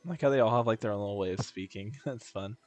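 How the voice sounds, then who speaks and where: A man's voice: fairly low pitch, fast, a little mellow. A man giving casual, jokey gameplay commentary as a streamer, full of slang. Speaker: game streamer. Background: game music.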